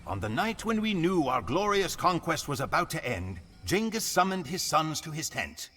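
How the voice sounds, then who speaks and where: A man's voice: fairly high pitch, big pitch swings, normal speed, bright, forceful tone. An actor playing a solemn, proud Mongol warrior who narrates his people's conquests in a grave, theatrical manner for a game cutscene. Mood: solemn, awed. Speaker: campaign narrator. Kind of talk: dramatic scripted cutscene narration. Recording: music behind the voice. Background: music.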